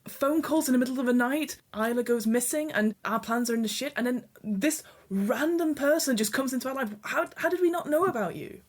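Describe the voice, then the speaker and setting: A woman playing an angry Welsh woman on a video call; indignant and shrill. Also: brisk, few pauses, slightly rough. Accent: soft Welsh. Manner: indignant, rising.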